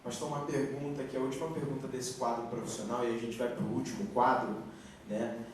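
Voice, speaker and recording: A man acts as interviewer at a live youth service, putting brisk, plain questions to a pastor. His voice sits at an ordinary pitch for a man. He sounds distant in a strongly echoing hall, with clear background noise. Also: steady tone.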